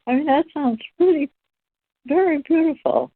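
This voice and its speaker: A woman giving a spiritual teaching talk as presenter, speaking in deliberate phrases. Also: high voice, dry recording, narrow, tinny audio. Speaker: presenter leading a spiritual discussion.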